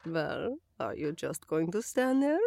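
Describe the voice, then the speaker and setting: A creaky old widow rambles at an unhurried pace, her voice swooping up and down as she chatters about her late husband, played by an actress. She sounds harmless and doddering, yet turns quietly menacing. Recorded close and dry for an audio drama. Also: low-pitched, sing-song, no echo, exaggerated voice. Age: older. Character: eccentric old widow with a sinister secret.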